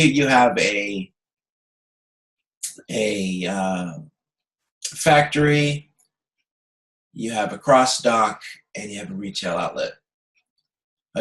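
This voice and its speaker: Guy teaching an online class over video call, explains stuff step by step, kinda halting, medium pitch. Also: low-quality recording. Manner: halting, explanatory, often checking understanding. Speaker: university instructor. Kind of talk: online lecture working through a problem.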